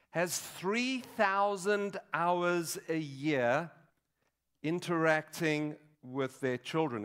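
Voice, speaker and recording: A man in his sixties preaching a sermon in a deliberate, emphatic way, pausing between short phrases. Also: on the high side, a bit bright. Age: 60s. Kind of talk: sermon with statistics and teaching. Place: large dim auditorium stage at a lectern.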